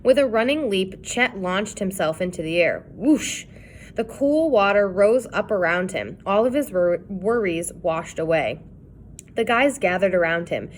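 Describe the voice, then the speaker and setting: A woman reads a children's chapter book aloud, telling it in an expressive way. Her voice is lively, rising and falling a lot through the narration. Her pitch sits in a middle range. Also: measured pace, slight background noise. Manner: expressive storytelling. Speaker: reader.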